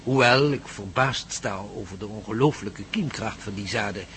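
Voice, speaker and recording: A man's voice: fairly low pitch, normal speed, a bit bright, close to an everyday voice. A man playing a fussy, polite professor absorbed in his research, eager and formal, in a radio drama. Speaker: actor in a radio play. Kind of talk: Dutch radio drama acting. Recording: radio play with faint noise.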